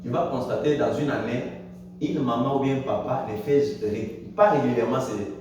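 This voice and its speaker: A male pastor preaching a Sunday sermon in French, with dramatic swings in pitch and loudness and frequent calls of hallelujah. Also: moderate pace. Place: church. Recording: echoey church hall, distant mic, faint noise.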